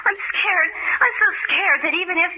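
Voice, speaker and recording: A woman's voice: very high-pitched, moderate pace, sharp tone, exaggerated voice. An actress playing a frightened young woman held captive in an old-time radio drama, pleading in a breathless, melodramatic way. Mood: shaken. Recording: muffled vintage radio recording.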